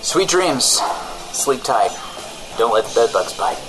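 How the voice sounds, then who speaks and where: A man's voice: medium pitch, very animated, takes it slowly, over music, rough recording. A man co-hosting a comedy radio show in character, bantering in an evasive, self-righteous way. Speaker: radio co-host.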